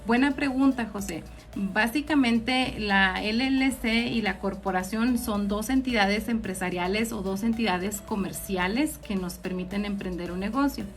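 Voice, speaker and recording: A woman's voice: medium pitch, a little slow, soft background music. A woman with a northern Mexican accent hosting an informal live question-and-answer session, explaining things directly to her listeners. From Ciudad Juárez, Mexico. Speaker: host, accountant answering audience questions.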